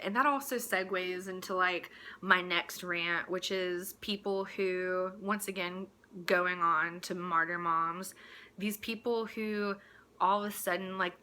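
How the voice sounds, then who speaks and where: Blunt, bright-voiced woman on a rant. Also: on the low side, slight background noise. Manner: blunt, crude, exasperated, with mocking asides. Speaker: host delivering a personal rant. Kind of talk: casual opinionated rant.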